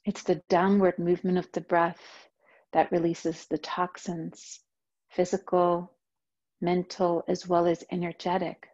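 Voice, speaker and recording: A woman yoga teacher leading a guided meditation, speaking in a slow, soft, soothing way. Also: lowish voice, flat delivery, highs cut off.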